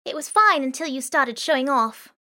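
A woman's voice: sits high, expressive, moderate pace, sharp tone, voice pushed up. A woman voicing a young punk girl thief who talks back sharply. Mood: scolding.

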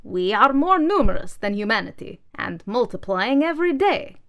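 A woman's voice: on the high side, very animated, moderate pace, voice pushed up. A woman voicing a pompous male political manifesto, a torn book rousing a crowd of junk. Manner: orating, declaiming.

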